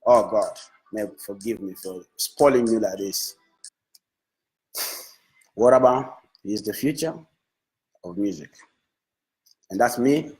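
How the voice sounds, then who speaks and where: A man's voice: lowish voice, slow, pauses often, darkish tone, poor audio. A man whose first language is Swahili, a music manager talking on a Facebook live, enthusiastic and repetitive, restarting his phrases as he praises an artist. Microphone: phone.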